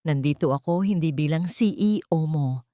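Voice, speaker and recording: Lovestruck CEO played by a woman, heartfelt and pleading, lowish voice, romance audio drama. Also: normal speed, dark tone, no room sound, studio quality, close to an everyday voice. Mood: pleading, emotional. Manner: earnest, emotional pleading.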